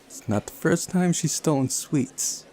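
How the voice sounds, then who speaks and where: Casting: male performer as inquisitive, helpful young woman, visual novel, high-pitched for a man. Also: lively intonation, unhurried, darkish tone, a little hiss or hum. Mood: knowing, helpful. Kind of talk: visual novel character read-aloud.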